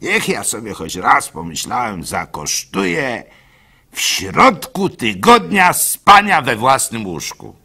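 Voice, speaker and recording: Gullible, lovestruck baker in radio drama: gravelly, very bright and forceful, hesitant confessional storytelling. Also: male voice, medium pitch, very animated, takes it slowly, exaggerated voice. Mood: anxious recollection.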